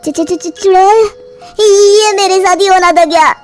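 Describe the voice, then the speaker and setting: A woman voicing a mischievous village child in a cartoon horror tale, with an excitable, exclamatory delivery. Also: extremely high pitch, normal speed, soft background music, voice pushed up. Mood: frightened. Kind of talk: animated horror fairy-tale acting.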